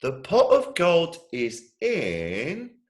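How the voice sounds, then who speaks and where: A man voicing an excitable, adventurous little brother in a storybook, exclaiming eagerly. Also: fairly high pitch, extremely slow, voice pushed up, heavily acted. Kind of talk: storybook character voice.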